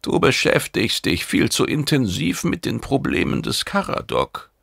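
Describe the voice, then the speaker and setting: A man's voice: mid-range voice, wildly swooping pitch, a little slow, vocal fry, fairly bright tone, husky, no room sound, clean studio sound, voice pushed up, exaggerated voice. A man voicing a stern, demanding oracle mentor who lectures imperiously in an audiobook. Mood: worried, insistent. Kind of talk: audiobook character acting.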